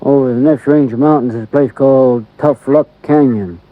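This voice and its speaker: An actor playing a big, slow-talking small-town deputy sheriff in a 1950s radio drama, with a folksy, unhurried drawl and rural grammar. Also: male voice, mid-range voice, no echo, close to an everyday voice. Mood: laconic. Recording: dull lo-fi old radio recording.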